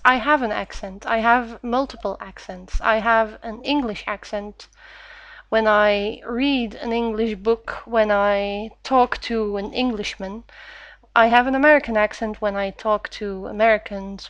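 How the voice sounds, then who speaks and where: Woman, slightly high voice. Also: measured pace, a bit bright, no echo. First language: Dutch. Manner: hesitant, frequent "uh" fillers, stumbles when reading. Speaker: vlogger. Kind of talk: casual Q&A with read-aloud passage.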